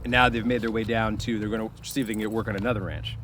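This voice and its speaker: A man's voice: fairly low pitch, steady tone, rapid-fire, fairly bright tone. Casual male teacher over noisy background. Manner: casual, thinking aloud, frequent throat clearing. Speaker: teacher reading a novel aloud. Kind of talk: read-aloud with informal commentary.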